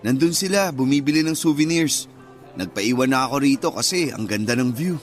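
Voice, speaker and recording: A man's voice: mid-range voice, lively intonation, brisk, soft background music, lightly acted. An actor playing a friendly male nurse reuniting with an old sweetheart in a Filipino radio drama, speaking with a Filipino accent. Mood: friendly, relaxed.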